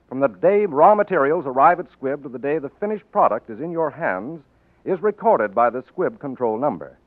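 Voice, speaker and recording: Lively, high-voiced American radio announcer, tinny vintage sound. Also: male voice, dry recording. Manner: formal, polished. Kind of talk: scripted 1940s radio announcing and sponsor message. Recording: tinny 1940s radio broadcast, faint music.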